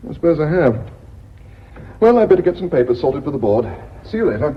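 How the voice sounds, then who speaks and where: Bitter British accountant, lovelorn, expressive pitch, radio drama, muffled lo-fi sound. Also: male voice, brisk. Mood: wry. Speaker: actor in a radio play. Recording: dull lo-fi radio recording, clear hiss.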